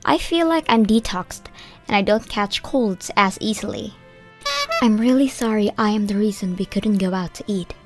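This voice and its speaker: A young woman narrating a manga dub in first person, measured and earnest. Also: medium pitch, faint music behind. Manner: measured storytelling, plain and earnest.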